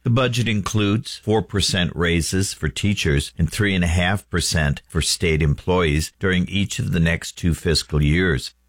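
A man reading the radio news in a steady, formal, scripted manner. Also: deep voice, measured pace, few pauses, dry recording, clean studio sound. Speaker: radio newsreader.

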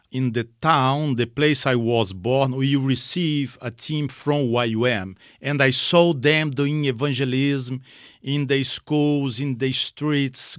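Male missions-leader guest, unhurried delivery, simple emphatic phrasing, dry studio. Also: mid-range voice, fairly bright tone, no echo. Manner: simple non-native phrasing, emphatic repetition. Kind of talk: personal testimony in a radio interview. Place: radio studio.

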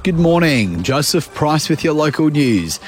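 Crisp newsreader man. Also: a little high, sing-song, measured pace, heavy background noise. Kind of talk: news reading.

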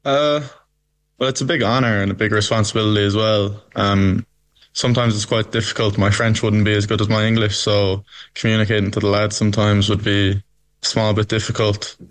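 A young man from Belgium with an Irish accent, answering interview questions in a relaxed, easygoing way. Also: fairly low pitch, fairly quick. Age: young adult. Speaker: interview guest, rugby captain.

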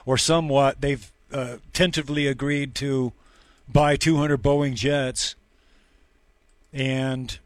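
A man's voice: mid-range voice, dry recording. Guy hosting a talk show, blunt and opinionated, dry close sound. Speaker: host.

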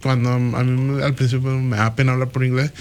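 A man from Venezuela with a Venezuelan accent, a guest on a radio show, talking in a relaxed way with hesitant restarts. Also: mid-range voice, a little fast. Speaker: credit union guest. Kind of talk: casual interview talk.

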